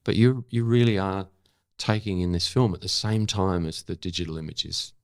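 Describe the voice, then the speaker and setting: A man's voice: low voice, normal speed, dry recording, studio quality. A middle-aged or older man talking casually as an interview guest, reflective and often searching for the right word. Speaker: interview guest, photographer and former broadcaster.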